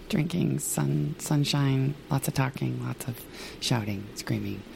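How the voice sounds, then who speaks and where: A woman co-hosting a podcast in casual chat, hoarse and chatty, laughing often. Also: extremely low pitch, slow, noisy background. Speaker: podcast co-host.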